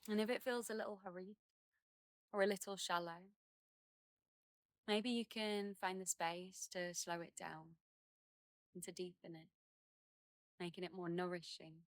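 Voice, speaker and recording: A woman leading a guided meditation outdoors, speaking in gentle, unhurried phrases with many pauses and soothing instruction. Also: fairly low pitch, fairly even intonation, no echo. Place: outdoors in a garden.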